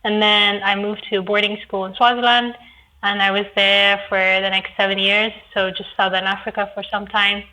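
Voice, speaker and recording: A woman's voice: mid-range voice, little pitch movement, normal speed, bright, forceful tone, low-quality recording. A woman from Ethiopia, a chef guest on a podcast, chatting playfully and laughing often. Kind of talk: casual interview chat.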